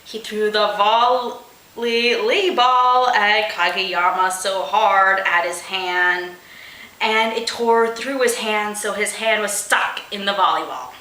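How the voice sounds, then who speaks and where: Woman, bright forceful voice, unhurried pace, comedic story reading, amused exasperated asides, roomy echo. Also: medium pitch, fairly even intonation. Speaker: host of a fanfiction reading show. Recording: roomy, echoing room sound.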